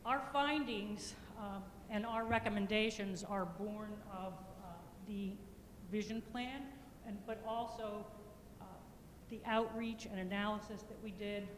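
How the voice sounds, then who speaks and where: Woman planning consultant, loud and halting with fillers, in an echoey public meeting room. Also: medium pitch, steady tone. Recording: echoey meeting hall with faint noise.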